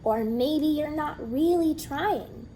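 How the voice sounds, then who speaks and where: A woman voicing an eager, imaginative young girl in a storybook, with bright, excited delivery. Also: high-pitched, slow, slight background noise. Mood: earnest.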